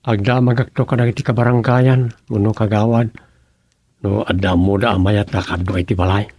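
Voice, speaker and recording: A man with an Ilocano accent playing a middle-aged village councilman in a radio comedy drama, with a gravelly, husky voice. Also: lowish voice, steady tone, brisk, a little mellow, dry recording, studio quality. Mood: discussing, coaxing.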